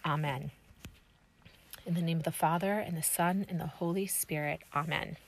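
A woman with a raspy, hoarse voice from a cold, leading a prayer and then sharing her personal testimony softly and hesitantly. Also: deep voice, fairly even intonation, normal speed, no room sound. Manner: soft, hesitant, full of ums and restarts.

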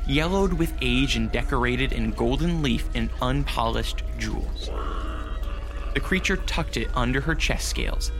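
A grave, commanding male vampire and storyteller, acted by a man in a radio drama. His medium-pitched voice carries suspense over clear background music. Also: close to an everyday voice, rough recording. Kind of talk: radio drama acting, dramatic scripted narration.

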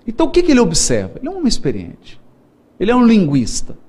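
A man delivering a religious lecture. His voice sits high and swings widely in pitch. He is lively and humorous, repeating words for emphasis. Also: fast talker, slightly rough. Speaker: Spiritist lecturer.

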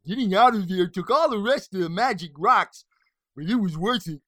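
A woman's voice: mid-range voice, animated, normal speed, fairly bright tone, dry recording. A woman playing a laid-back, sweet young slacker in a comedic audio drama, talking casually and a little awkwardly. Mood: shy, friendly.

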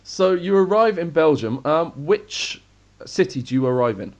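A man's voice: on the high side, sing-song, moderate pace, highs cut off. A man hosting a podcast, asking curious, encouraging follow-up questions. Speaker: podcast host. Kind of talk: interviewing.

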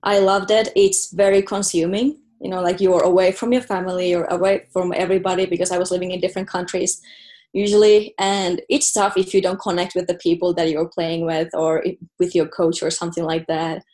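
Finnish woman, young, guest on a podcast, lowish voice, lots of "like" and restarts. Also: flat delivery, brisk, darkish tone. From Finland. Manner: chatty, many "like" fillers and restarts. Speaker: guest, professional volleyball player. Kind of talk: casual interview chat.

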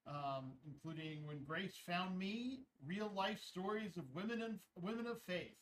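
A man hosting a casual podcast chat, rambling with many filler pauses as he wraps up. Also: high-pitched, fairly bright tone, a little hiss or hum, rough recording. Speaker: podcast host.

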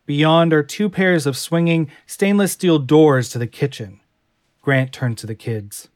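A man reading stage directions as narrator of a fan audio drama in an even, descriptive way. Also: on the high side, unhurried.

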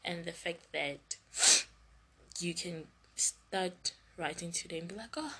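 A woman's voice: lowish voice, takes it slowly, fairly bright tone, slightly rough. A young woman hosting a solo podcast, talking in a rambling way and often restarting her sentences. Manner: rambling, restarts and self-corrects. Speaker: podcast host.

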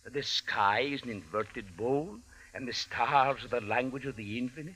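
An actor playing a pompous phony mystic prince in an old radio drama, declaiming in grand, formal, theatrical phrases. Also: male voice, mid-range voice, moderate pace, sharp tone, natural voice, rough recording. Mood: grandiose. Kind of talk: 1950s-style radio drama acting. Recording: vintage radio recording.

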